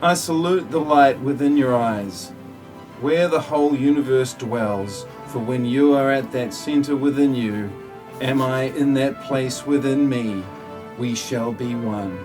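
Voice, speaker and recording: A man's voice: mid-range voice, a little slow, over music, lightly acted. A man playing a solemn, pretentious desert mystic in an amateur parody audio play, speaking in a stilted, ponderous way. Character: solemn, pretentious male desert mystic spouting muddled wisdom.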